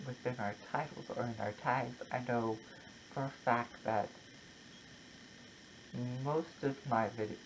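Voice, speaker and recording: A content creator talking casually and personally, in a halting, reflective way with short broken phrases. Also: medium pitch, a little hiss or hum, poor audio. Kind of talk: casual personal vlog, opinion talk to camera.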